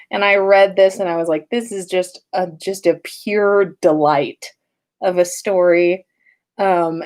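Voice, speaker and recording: A woman hosting a story livestream in an informal, playful and expressive way. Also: mid-range voice. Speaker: podcast host and story reader. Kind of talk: livestream story reading and casual chat.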